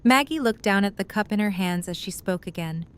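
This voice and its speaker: Woman narrator, middle-pitched, scripted storytelling. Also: lively intonation, brisk, clean tone.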